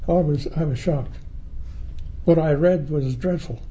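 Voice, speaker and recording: An elderly man from New Zealand and England reminiscing in an interview, with a halting, reflective, erudite and wryly humorous manner. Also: on the high side, mellow, slightly rough. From born in New Zealand, raised in England. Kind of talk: oral history interview reminiscence. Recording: faint background noise.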